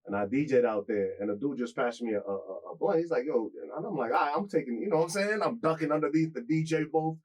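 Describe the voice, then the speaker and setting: Fast-talking animated male radio host. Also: rough recording. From Kenya. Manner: animated, jokey, leaps high when telling stories. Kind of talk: casual radio interview.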